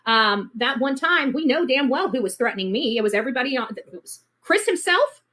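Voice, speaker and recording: A woman's voice: sits high, big pitch swings, a little fast, fairly bright tone. A woman giving casual, opinionated online commentary in a blunt, indignant way, repeating words for emphasis. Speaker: online commentator on a missing-person case.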